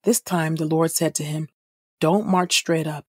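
Female reader for audio Bible: lowish, husky voice, measured delivery, dry close sound. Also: steady tone, darkish tone, no room sound. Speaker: podcast host, scripture reader. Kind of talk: scripted audio Bible reading.

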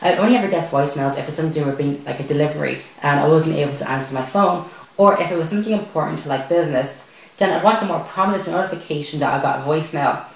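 A man's voice: on the high side, fast, gravelly. A young man presenting a scripted tech tutorial to camera. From Ireland. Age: young adult. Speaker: tech video host. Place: small bare indoor room at a desk. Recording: very echoey bare room.

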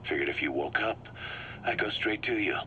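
Shrewd mercenary commander, forceful, assured, emphatic, video game briefing, slightly muffled tape with faint hum. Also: male voice, lowish voice, lively intonation, brisk. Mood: grim. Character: driven, shrewd male mercenary commander briefing his boss. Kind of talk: scripted video game dialogue, explaining plans. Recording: muffled cassette-like sound with background hum.

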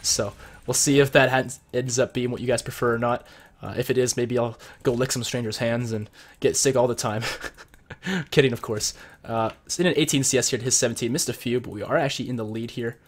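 A young man with a cold, sounding a bit husky and deeper, casually explaining strategy as he plays and comments on a video game at his computer. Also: steady tone, brisk. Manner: chatty, explains strategy while playing. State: sick with a cold. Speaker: gameplay commentator. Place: home room at his computer.

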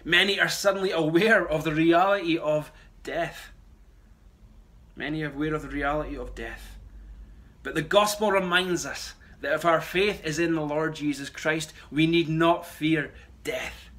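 A man in his thirties preaches a short address from a room at home. His voice sits a little high for a man. He is earnest and emphatic, repeating key phrases for weight. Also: unhurried, a bit bright. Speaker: pastor. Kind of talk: short sermon address to camera. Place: small room at home, indoors.

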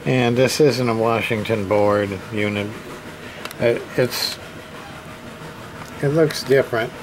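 A man giving a casual hands-on repair demonstration, thinking aloud in a hesitant, halting way as he goes. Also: medium pitch. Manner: hesitant, halting, muttering to himself. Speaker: hobbyist radio repair presenter. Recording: clear background room noise.

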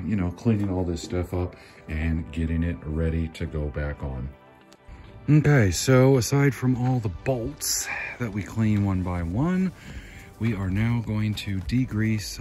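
Deep-voiced man explaining garage work. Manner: relaxed, explanatory, many fillers. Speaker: car mechanic and channel host. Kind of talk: casual workshop walkthrough.

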